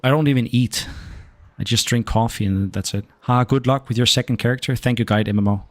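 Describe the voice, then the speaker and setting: Young Danish-accented male streamer, mid pitch, relaxed and a bit profane. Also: fairly quick. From Denmark. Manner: laid-back, swears casually, chats to viewers. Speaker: game streamer. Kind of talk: casual gaming stream chat.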